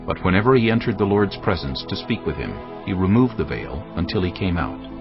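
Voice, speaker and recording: A man narrating scripture in a dramatic, expressive reading. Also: sits low, fairly quick. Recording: dull lo-fi audio with loud music. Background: cinematic music.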